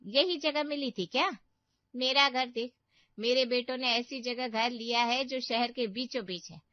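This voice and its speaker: A woman voicing a boastful, sharp-tongued older sister in a cartoon, scolding and bragging. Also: sits high, no echo, pitched above the performer's own voice, natural voice. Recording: dull, muffled audio.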